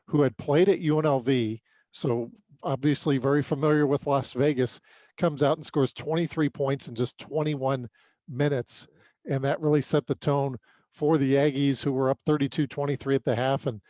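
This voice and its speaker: A man with a mid-pitched voice talks through sports results as a podcast co-host. His delivery is conversational and a little halting, with repeated words as he lays out the analysis. The sound is dry, with very little room. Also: darkish tone, no echo. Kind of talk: unscripted sports recap commentary.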